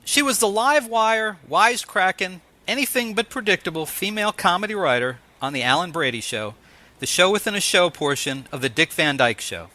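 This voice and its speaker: A man's voice: sits high, lively intonation, normal speed, bright, forceful tone. A man hosting an interview show, moving between scripted announcements and conversational questions. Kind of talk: scripted introduction and ads, then interview chat.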